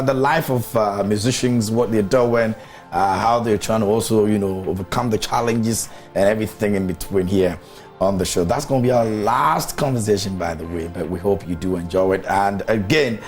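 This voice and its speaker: A man's voice: on the low side, moderate pace, a little mellow, faint music behind. A man hosting a morning TV show, chatty and teasing, chatting casually with a guest in a studio. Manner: chatty, teasing, laughs often.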